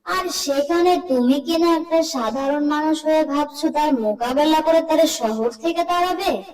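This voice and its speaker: A fearful, scornful townsperson from a cartoon story, acted by a woman, speaks in a fairly high voice. The delivery is mocking and dismissive. The sound is distant, washed in long reverb. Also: measured pace, a little mellow. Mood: incredulous, mocking.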